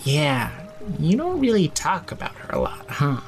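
Blunt, tipsy young guy, higher-pitched. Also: huge pitch swings, unhurried, soft background music, heavily acted. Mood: blunt, firm. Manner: slangy, insistent, gruffly caring. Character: drunk, blunt, foul-mouthed young man confronting his friend. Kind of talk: audio drama acting, naturalistic.

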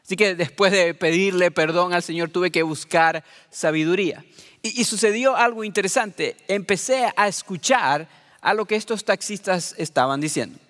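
A middle-aged preacher born in Venezuela speaks with a somewhat raised, light voice, warm in his earnestness toward his listeners. His words carry a long reverb, as if spoken in a large hall. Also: sits high, moderate pace. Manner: earnest, addresses listeners as beloved. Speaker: pastor. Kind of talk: sermon.

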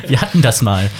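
Young male co-host, husky and animated, telling stories with jokes. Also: fairly high pitch. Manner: joking, lively storytelling. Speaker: podcast co-host. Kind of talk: casual chat.

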